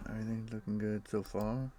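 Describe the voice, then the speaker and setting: A tired man streaming a game, casually greeting his chat in a relaxed way. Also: fairly low pitch, gravelly.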